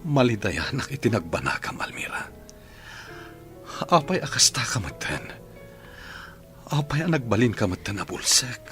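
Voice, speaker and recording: A man's voice: medium pitch, very animated, normal speed, pauses often, a bit bright, soft background music. A man playing a remorseful husband in a radio drama, speaking in an earnest, pleading way. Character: remorseful husband begging forgiveness.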